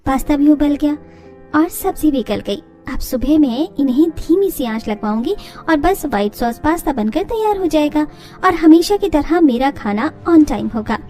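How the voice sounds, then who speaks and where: A woman plays a young daughter-in-law in a cartoon family story. She is cheerful, confident and a little sly, with a high voice. Faint music sits behind her. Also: lively intonation, moderate pace, mellow. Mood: secretive, pleased.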